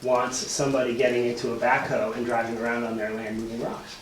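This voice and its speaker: A man with a middling pitch argues formally and assertively in measured, lawyerly phrases. He is captured far from the microphone in a roomy space. Clear background noise sits under his voice. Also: monotone. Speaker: attorney speaking for property owners. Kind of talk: formal argument at a public meeting. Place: indoor town board meeting room. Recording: roomy, distant mic, noisy hiss and clicks.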